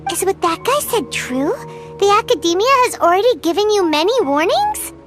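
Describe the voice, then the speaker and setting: A woman's voice: extremely high pitch, big pitch swings, moderate pace. A woman voicing a chatty, blunt little floating companion creature who talks about herself in the third person. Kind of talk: video game dialogue. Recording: loud game music behind.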